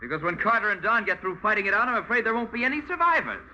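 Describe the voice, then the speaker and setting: An actor playing a jealous, scheming young killer in a 1940s radio drama, sarcastic and menacing. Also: male voice, sits high, big pitch swings, racing, pushed and bright, heavily acted. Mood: cold menace. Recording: hissy, muffled old radio recording.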